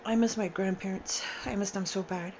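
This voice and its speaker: A woman in her forties telling a painful personal story, halting and emotional, with sighs and restarts, tired and upset. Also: medium pitch, fairly even intonation, darkish tone, muffled recording, a little hiss or hum. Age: 40s. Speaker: storyteller sharing a personal account. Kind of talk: unscripted personal testimony.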